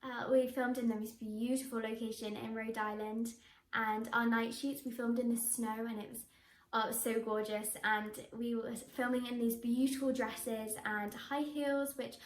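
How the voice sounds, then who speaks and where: A woman's voice: on the high side, level tone, normal speed, slight background noise. A teenage girl, an actress answering interview questions to camera in a bubbly, enthusiastic way. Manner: bubbly, enthusiastic, with frequent laughs. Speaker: young actress. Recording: echoey room.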